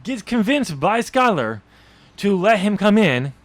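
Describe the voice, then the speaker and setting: A man's voice: sits high, sing-song, measured pace, dry recording. A man co-hosting a podcast, casually discussing a book in an informal, chuckling way. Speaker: podcast host.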